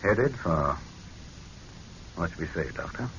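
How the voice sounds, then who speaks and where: An actor playing a sardonic, pompous head of state in a vintage radio drama, musing drily and giving orders. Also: male voice, low-pitched, animated, slow, fairly bright tone, full character voice. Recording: muffled, noisy vintage radio recording.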